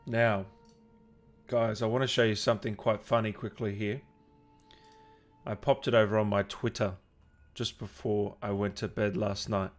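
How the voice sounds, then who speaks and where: A man's voice: on the low side, flat delivery, normal speed, long pauses, soft background music. A man hosting a livestream, chatting informally and jokingly with his viewers in a matey way. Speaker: livestream host reading viewer comments. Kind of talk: casual livestream chat with viewers.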